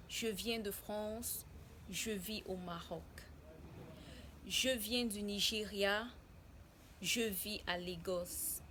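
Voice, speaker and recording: Young woman from cameroon, language teacher, very slow careful delivery, steady traffic noise behind. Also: medium pitch. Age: young adult. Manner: slow and deliberate, spelling out example phrases. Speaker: French teacher. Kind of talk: slow beginner language lesson.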